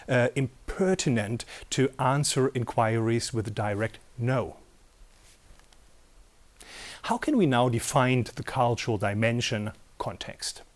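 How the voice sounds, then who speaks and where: A man, giving a measured recorded lecture in a studio, with a few fillers as he explains. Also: medium pitch, darkish tone. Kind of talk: recorded lecture on intercultural communication. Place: studio with dark backdrop.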